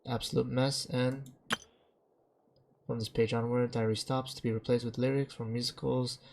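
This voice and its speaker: A man narrating game text aloud in a dramatic, halting way, stumbling over some words as he reads. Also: mid-range voice, little pitch movement, creaky, darkish tone, slight background noise.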